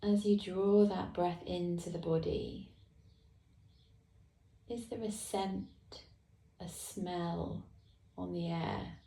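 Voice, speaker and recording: A woman meditation teacher guides listeners with a soft, unhurried voice. Her delivery is very slow and low-pitched, with a mellow, slightly gravelly texture. The recording has a roomy echo around her. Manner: slow, soft, calm guidance with long pauses.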